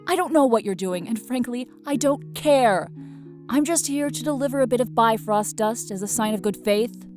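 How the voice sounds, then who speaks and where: A woman's voice: on the high side, normal speed, a little mellow, soft background music, close to an everyday voice. A woman playing a feisty, blunt young goddess in an audio drama, with a brash, emphatic delivery. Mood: curt, impatient.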